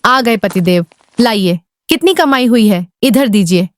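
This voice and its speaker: A woman's voice: mid-range voice, lively intonation, a little slow, dry recording, lightly acted. A woman playing a greedy, scheming village wife in a cartoon, scolding and commanding with sarcasm. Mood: sarcastic, nagging. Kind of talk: Hindi cartoon voice acting.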